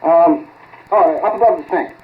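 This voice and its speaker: A man's voice: fairly high pitch, moderate pace, close to an everyday voice. A male actor playing an eager, grumbling laboratory assistant in a folksy 1940s radio drama style. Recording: tinny, scratchy 1940s radio recording.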